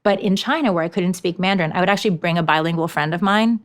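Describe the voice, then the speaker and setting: Woman in her forties, slightly low voice, animated storyteller, conversational interview guest. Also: fast talker. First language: Japanese. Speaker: author and education consultant, guest.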